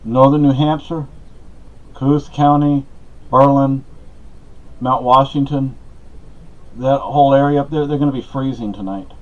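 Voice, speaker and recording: A man's voice: mid-range voice, darkish tone. A middle-aged man gives a casual, rambling monologue, conversational and full of asides and personal anecdotes. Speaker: podcast host giving personal updates. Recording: dull lo-fi audio.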